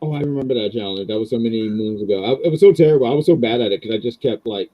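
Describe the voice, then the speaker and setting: A man's voice: mid-range voice, very fast, muffled recording, a loud crowd. A man, co-hosting a casual wrestling review, rambling and chatty with lots of ums. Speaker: podcast co-host.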